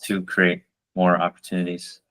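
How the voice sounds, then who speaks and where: A man's voice: low voice, monotone, normal speed, fairly bright tone. A man hosting an interview, asking open questions and thinking aloud as he goes. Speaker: podcast host.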